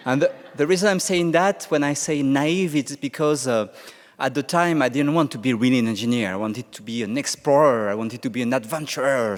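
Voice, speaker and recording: A man with a French accent speaks at a conference panel in a middle-pitched voice. He halts and restarts often, filling gaps with "uh". The long reverb of a large hall trails behind him. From France. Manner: hesitant, many restarts and fillers. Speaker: panel speaker, Mars climate scientist.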